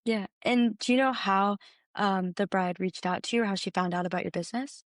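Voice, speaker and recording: A woman's voice: medium pitch, brisk, dry recording, clean studio sound. A woman conducting an interview, asking prepared questions in a conversational exchange.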